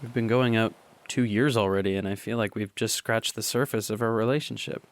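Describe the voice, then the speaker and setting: Young guy doing a nervous teen boy in love, soft stammery radio drama voice. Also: fairly low pitch, steady tone, brisk, close to an everyday voice. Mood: wistful. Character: lovesick teenage boy, earnest and nervous. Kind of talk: student radio drama monologue.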